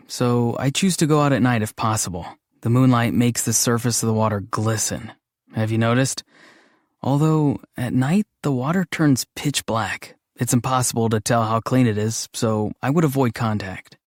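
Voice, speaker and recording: An actor voicing a gentle, odd, introverted young man in a video game, speaking in an earnest, hesitant, matter-of-fact way. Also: mid-range voice, no echo, studio quality, natural voice. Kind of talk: scripted game character lines.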